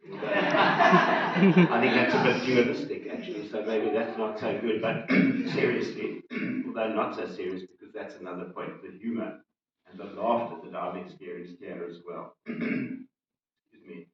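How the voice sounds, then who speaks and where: A man shares an informal testimonial, deliberately building it phrase by phrase. He is heard from a distance in a very echoey hall. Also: on the low side, fairly bright tone, lo-fi sound, a little hiss or hum. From South Africa. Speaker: retreat participant sharing a testimonial. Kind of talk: informal public sharing.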